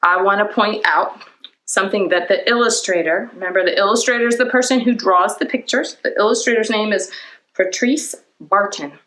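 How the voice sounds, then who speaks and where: Lady doing kids storytime, medium pitch, explains stuff simply and keeps asking the little ones questions. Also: normal speed, fairly bright tone, low-quality recording. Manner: asks the listeners questions and answers them, simple explanatory phrasing. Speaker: children's librarian hosting storytime. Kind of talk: children's storytime read-aloud and talk to young viewers.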